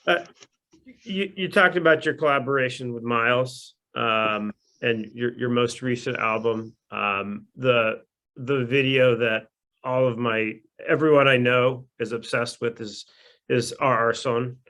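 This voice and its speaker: A man interviews his guest with eager, stop-start questions full of fillers. His voice is bright and clear-toned. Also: mid-range voice, fairly even intonation, unhurried. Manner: enthusiastic, hesitant with fillers. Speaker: interviewer host. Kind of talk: casual interview.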